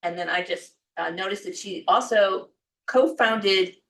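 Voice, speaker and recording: A woman making event announcements and working through a long list of sponsors. She fills her pauses with ums and uhs. She is recorded from across an echoey room. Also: mid-range voice, fairly bright tone, far from the mic. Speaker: event organizer and development staff. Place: event room at a book club.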